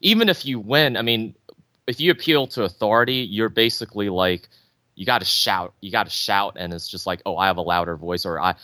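A man calling in to a show, agreeing readily and speaking haltingly with many restarts. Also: lowish voice, bright, forceful tone, no room sound. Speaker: caller. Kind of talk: call-in phone conversation.

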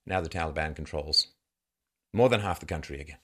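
A man's voice: a bass voice, fairly quick, pushed and bright. A man from Ireland and England delivering an opinion monologue in a sarcastic, rhetorical manner with theatrical emphasis. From born in Ireland, grew up in England. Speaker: host of a political commentary show.

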